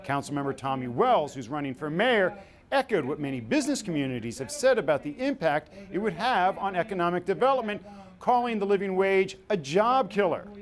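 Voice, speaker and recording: A man's voice: sits high, very animated, brisk. A man with an American accent reading scripted news as an anchor.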